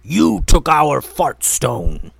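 A man voicing a confused, brutish male cave troll who shouts slowly and loudly. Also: fairly high pitch, big pitch swings, creaky, breathy edge, dry recording, heavily acted. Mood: angry. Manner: loud, slow, bewildered shouting.

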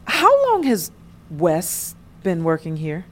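A woman's voice: on the low side, wildly swooping pitch, slow, full character voice. A woman with an American accent playing a guarded, sardonic adult daughter in a naturalistic audio drama, speaking with dry hesitance. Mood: sceptical. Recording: noticeable background noise.

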